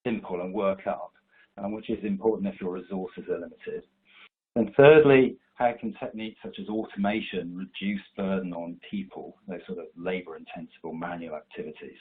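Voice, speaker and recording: A man with a cold, sounding stuffy, giving a steady, explanatory slide presentation in a webinar. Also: low-pitched, slightly rough. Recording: tinny online call audio.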